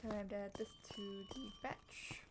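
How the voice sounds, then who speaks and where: A woman casually commentating on her own gameplay, muttering to herself in short, unhurried remarks as host of a gaming video. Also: mid-range voice, fairly even intonation, very noisy. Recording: music playing behind the voice.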